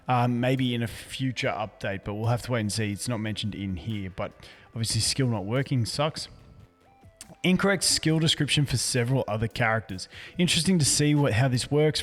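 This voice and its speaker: Hoarse man chatting about a game update. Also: mid-range voice, big-room sound. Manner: chatty and easygoing, restarts words often. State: hoarse, losing his voice. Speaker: gaming YouTuber. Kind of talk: casual game news commentary, reading patch notes.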